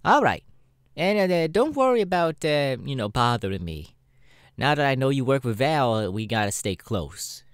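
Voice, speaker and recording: Up close and dry, a man plays a sassy, cynical demon showman in an ASMR roleplay. His pitch swings widely as he drawls through casual, irreverent chat with hesitant pauses. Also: very animated, smooth, no echo, lightly acted. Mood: friendly. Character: sassy, cynical male demon adult performer.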